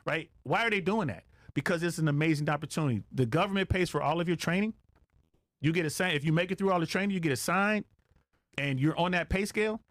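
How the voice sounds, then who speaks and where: A man's voice: fairly high pitch, fast talker, fairly bright tone, no echo, clean studio sound. A middle-aged man chatting casually as a podcast guest, slangy and emphatic, repeating phrases to drive points home. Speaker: guest, retired air traffic controller.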